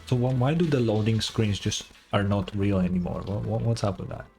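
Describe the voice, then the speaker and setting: A man's voice: lowish voice, brisk, creaky, dark tone, soft background music. A man streaming a game, casually reacting and reading on-screen text aloud in an offhand, unimpressed way.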